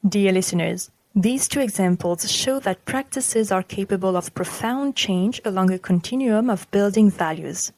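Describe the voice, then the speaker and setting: A woman narrates from a script as a podcast presenter. Her pitch sits in the middle range and her delivery is formal and measured. The sound is dry and close, with very little room. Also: a little mellow, no echo, low-quality recording. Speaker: podcast presenter reading a text.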